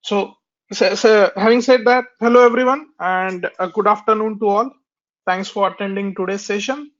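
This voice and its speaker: A man's voice: sits high, fairly even intonation, moderate pace, fairly bright tone. A man giving a technical webinar presentation, talking with a nasal sound from a cold and hesitating with many fillers and restarts, recorded through a computer mic on a conference call. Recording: dull lo-fi webinar audio.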